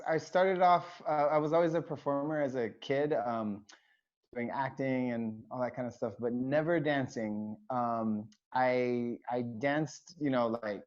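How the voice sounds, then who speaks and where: A man of middle pitch, a choreographer chatting as a guest, talks in a loose, rambling way full of ums and false starts. The recording is dull and lo-fi. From United States, raised in Hawaii. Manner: rambling, many fillers and restarts. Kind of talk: casual interview chat. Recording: dull lo-fi sound.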